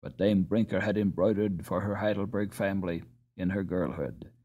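A man narrating a storybook as an audiobook reader, in an expressive scripted style. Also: low voice, normal speed, breathy edge, narrow, tinny audio.

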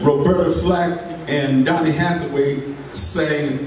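Man minister, slightly high voice, slow unhurried preaching, distant pulpit mic in a roomy, noisy sanctuary. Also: fairly even intonation, a crowd in the background. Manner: slow, folksy preaching with laughter and asides to the congregation. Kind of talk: sermon. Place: church sanctuary. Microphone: pulpit mic, filmed by a far camera. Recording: echoey church from far off, noisy.

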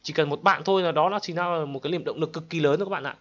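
A man hosting gameplay in an exclamatory, excited commentary style, addressing viewers like friends. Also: on the high side, quick pace, dull audio, noisy background. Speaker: gaming video host. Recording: loud game gunfire and clicks behind. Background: game gunshots, explosions and clicks.

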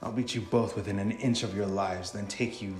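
A man reads visual novel dialogue aloud in a low voice. The recording sounds roomy, with faint music underneath. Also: steady tone, fairly quick. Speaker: co-player reading game dialogue aloud.

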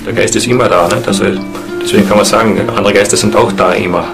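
A man explaining things spontaneously in an interview, halting and earnest. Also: on the low side, steady tone, gravelly, music in the background. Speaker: interviewee, esoteric practitioner.

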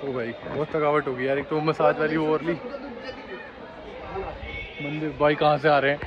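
A man's voice: fairly high pitch, flat delivery, normal speed, muffled recording. A young man chatting to viewers on his phone camera as he walks a crowded pilgrimage path. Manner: chatty, laughing, talks to viewers as guys. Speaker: vlogger. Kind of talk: casual travel vlog. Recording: loud crowd and street noise. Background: crowd and traffic noise.

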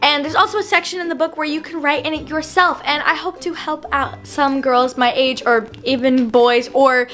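A teenage girl vlogging to a handheld camera held close to her face, chatting in quick, bubbly bursts at home, in a car and at a mall. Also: high voice, steady tone, a bit bright. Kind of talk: casual vlog talk to camera.

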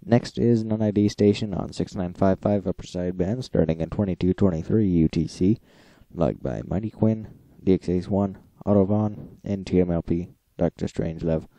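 A man with a deep, very muffled-dark voice hosts an informal logging recap. He reads out lists plainly, with an occasional aside, and the sound is close and dry. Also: moderate pace, few pauses, dry recording. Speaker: host of a radio logging recap. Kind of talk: informal recap reading out logs.

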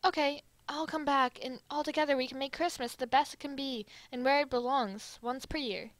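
A woman voicing a gentle, wistful anime boy, sincere and hesitant, in an amateur fan drama. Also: sits high, steady tone, normal speed, no echo, close to an everyday voice. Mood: gently reassuring. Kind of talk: amateur fan dub roleplay.

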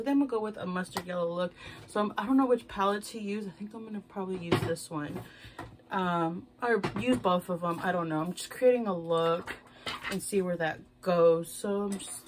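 A woman's voice: mid-range voice, slight background noise. Rambling young woman doing makeup. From Houston, Texas. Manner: rambling, loose, swears casually, self-mocking. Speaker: beauty vlogger. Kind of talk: casual chatty get-ready-with-me. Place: small decorated bedroom, indoors.

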